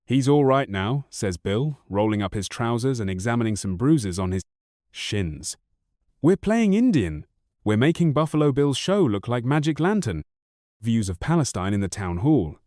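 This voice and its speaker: A man's voice: mid-range voice, big pitch swings, moderate pace, warm tone, no echo, studio quality, natural voice. A man voicing a battered, rattled kidnapper who grumbles in a weary, put-upon way.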